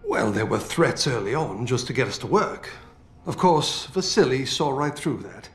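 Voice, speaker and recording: An actor playing an older British scientist in a video game, feisty and fatherly, with colourful, expressive delivery. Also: medium pitch, moderate pace, lightly acted. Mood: wry, weary. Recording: roomy game audio with music.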